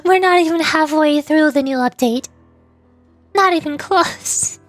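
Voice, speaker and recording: A woman's voice: sits high, a little slow. A woman chatting casually on a livestream as a VTuber game streamer, in a giggly, rambling way. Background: faint music.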